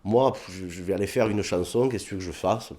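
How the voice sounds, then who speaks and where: A man's voice: low voice, quick pace. A man with a southern French accent, a comedian telling anecdotes in a casual interview, acting out bits of dialogue. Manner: animated anecdotes with put-on dialogue, many fillers.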